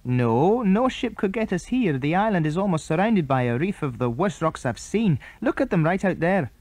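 A man's voice: high-pitched, sing-song, normal speed, darkish tone, no room sound, voice pushed up. Man reading as a firm, sensible British boy. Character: sensible, cautious older boy leading stranded children. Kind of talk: children's storybook reading.

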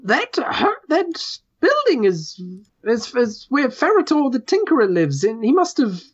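Nervous squirrel villager for a tabletop game, male performer, very high, halting and timid, slightly dull audio. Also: wildly swooping pitch, husky, exaggerated voice. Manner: hesitant, stuttering.

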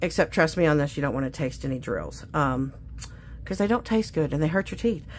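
A woman's voice: deep voice, expressive, a little fast, slight background noise. A woman chatting casually to viewers as a craft vlogger, in a gushing, exclamatory, folksy way. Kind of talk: casual unboxing chat to viewers. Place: indoors at a craft table.

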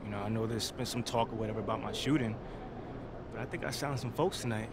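A man playing a cocky young basketball star in a game cutscene, brash, casual and slangy, with loud urban noise around him. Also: on the low side, fast talker, lightly acted. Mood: confident. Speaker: athlete giving post-game interview. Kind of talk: video game cutscene acting.

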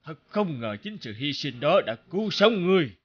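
Big scary demon boss in a cartoon, commanding and menacing, dramatic swings in tone. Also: male voice, a little high, sing-song, husky, muffled recording. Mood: scornful, pronouncing judgment. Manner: commanding, deliberate, threatening. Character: huge menacing horned demon lord, stern judge. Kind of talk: animated folk-tale villain acting.

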